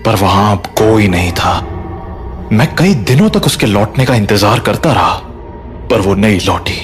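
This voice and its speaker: A man playing a grave, protective father in an audio drama, speaking in a solemn, measured way. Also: fairly low pitch, animated, over music. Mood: sorrowful.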